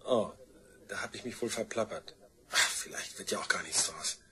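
A man's voice: fairly low pitch, normal speed, bright, forceful tone, slightly rough, full character voice. An actor playing an offhand, slyly needling man questioned as a witness in a radio crime drama, speaking casually. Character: offhand, needling younger-brother type, male crime witness.

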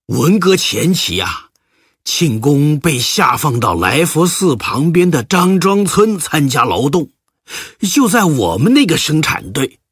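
Man acting a husky, unhurried village storyteller. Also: fairly high pitch, big pitch swings, no echo, studio quality, exaggerated voice. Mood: earnest, reminiscing. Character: earnest villager fondly recalling boyhood.

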